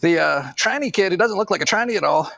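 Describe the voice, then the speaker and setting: A man's voice: high voice, animated, quick pace, vocal fry, a bit bright, dry recording. A man giving casual, rambling online commentary, often chuckling sardonically as he makes his points.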